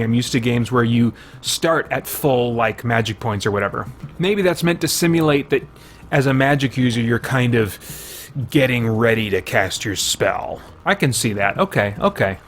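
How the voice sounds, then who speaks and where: A man's voice: medium pitch, fairly bright tone. A man giving casual first-impressions commentary on a video game, conversational and thinking aloud as he goes.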